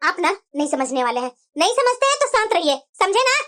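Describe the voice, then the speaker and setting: A woman's voice: very high voice, very animated, fairly quick, a bit bright, full character voice. A woman voicing a possessive, nagging middle-aged mother-in-law who dotes on her son, chattering insistently in a family cartoon. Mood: exasperated, dismissive. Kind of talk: Hindi family cartoon voice acting.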